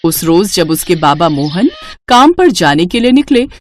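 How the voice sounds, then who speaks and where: A woman's voice: lowish voice, very animated, normal speed. A woman narrating a scripted moral story for children as its storyteller.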